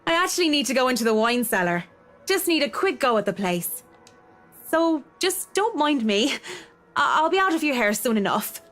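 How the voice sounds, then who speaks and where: A woman's voice: high-pitched, big pitch swings, moderate pace, a bit bright, faint music behind. A woman playing a reserved, polite interior designer in a game, speaking in an understated, evasive way. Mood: casual, evasive. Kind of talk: visual novel game voice acting.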